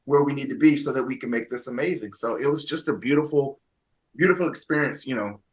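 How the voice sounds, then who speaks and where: A man's voice: medium pitch, fast talker. A man, an actor, talking enthusiastically and rambling with lots of fillers in a casual interview over a video call.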